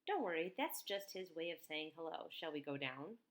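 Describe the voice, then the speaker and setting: A woman's voice: deep voice, animated, moderate pace. A woman voicing a friendly, easygoing woman writer in a children's mystery story, chatting with children.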